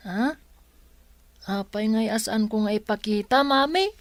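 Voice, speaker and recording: Young schoolchild played by a woman, radio drama: Ilocano accent, high, unhurried, naive questioner, close dry sound. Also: dry recording, natural voice. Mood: curious, pleading. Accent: Filipino, Ilocano.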